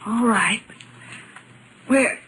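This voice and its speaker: A cautious reporter's sidekick speaks in a fairly high voice. She is observant, wide-eyed and apprehensive. It is a vintage radio mystery with slight noise on the recording. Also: drawn-out words, fairly bright tone. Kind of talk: 1940s radio acting. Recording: noisy 1940s radio recording.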